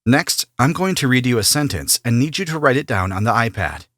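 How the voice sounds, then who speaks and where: A man playing an immigration officer who asks formal, steady questions in a scripted practice interview. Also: mid-range voice, a little fast, no room sound. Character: male immigration officer, businesslike and neutral. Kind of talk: scripted role-play interview.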